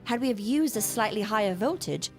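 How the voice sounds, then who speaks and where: A woman's voice: medium pitch, animated, fairly quick, a little mellow, natural voice. Actress doing a serious gothic lady scientist, formal lecturing way of talking, music under it. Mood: intent, explaining. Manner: formal, precise, lecturing diction. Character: earnest, exacting woman scientist obsessed with reanimation. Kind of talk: period gothic audio drama acting.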